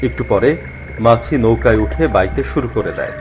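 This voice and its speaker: A man narrating a spooky first-person story in an expressive, dramatized way. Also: mid-range voice, moderate pace. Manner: expressive, acts out the character's worried thoughts. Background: faint music.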